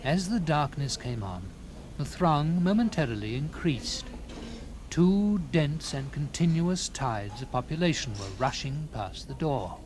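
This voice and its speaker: A man narrating a gothic story in the first person, with theatrical, deliberate phrasing and dramatic pauses. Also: fairly high pitch, sing-song, darkish tone, a little hiss or hum.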